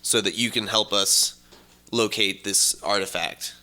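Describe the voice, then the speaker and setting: A man with a lowish, forceful voice. He chats informally and explains haltingly, often doubling back on his words. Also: fairly even intonation. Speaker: podcast host. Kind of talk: casual chat.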